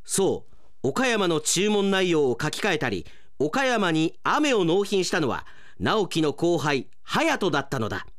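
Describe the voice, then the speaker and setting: A man's voice: fairly high pitch, very animated, a little slow, fairly bright tone, no echo, studio quality. A man narrating a scripted radio serial in a theatrical, suspenseful storytelling manner. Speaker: radio announcer performing a drama. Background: music under the voice.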